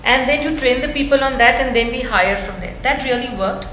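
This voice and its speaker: A woman's voice: sits high, a little fast. A woman with a big voice, a retail executive on a panel, rambling with lots of fillers. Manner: loud, rambling, lots of "you know". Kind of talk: panel discussion. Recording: echoey room with clear background noise.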